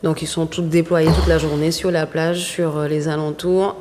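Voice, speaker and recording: A woman organizer explaining event rules in a brisk, matter-of-fact way. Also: low voice, flat delivery. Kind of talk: explanatory interview answers. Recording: reverberant hall with faint noise.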